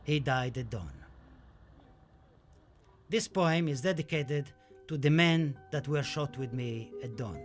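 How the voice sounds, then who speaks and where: A man's voice: medium pitch, slow, pauses often, soft background music, lightly acted. An actor playing a passionate, wistful Spanish poet looking back on his life and death in a theatrical, declamatory radio play monologue. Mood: solemn, tender. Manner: dramatic, declamatory, lingering over poetic phrases.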